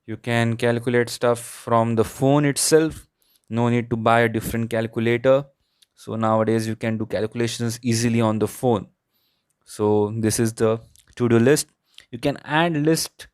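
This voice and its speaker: Male tech reviewer, Indian accent, smooth clear voice, close dry sound, explanatory walkthrough delivery. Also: mid-range voice, fairly even intonation, normal speed, dry recording. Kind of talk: product review narration, unscripted walkthrough.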